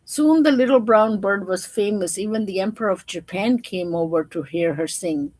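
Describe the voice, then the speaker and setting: A woman's voice: mid-range voice, moderate pace, darkish tone, no room sound. A woman storyteller reading a children's storybook aloud and explaining it simply to young listeners.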